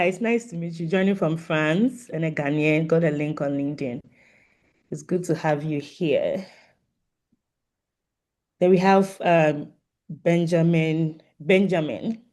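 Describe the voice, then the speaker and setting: Online meeting host: soft mellow tone, polite and inviting, informal call moderation. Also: mid-range voice. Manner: polite, inviting participants to introduce themselves. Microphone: video call microphone.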